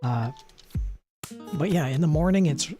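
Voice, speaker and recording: A man streaming a card game, chatting casually in a soft, rambling, self-deprecating way with asides to the game. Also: a little high, animated, a little slow, over music. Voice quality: soft, not gravelly at the moment. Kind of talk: casual live-stream chat while playing.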